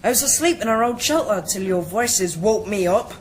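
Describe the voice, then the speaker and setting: A woman's voice: medium pitch, lively intonation, normal speed, slight background noise, close to an everyday voice. A woman in a radio drama voicing a guarded, defiant young noble boy. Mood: guarded defiance.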